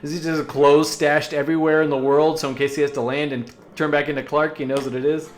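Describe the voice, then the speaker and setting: A man hosting a reaction video, chatting casually and musingly in halting asides as he comments on a cartoon. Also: on the high side, level tone.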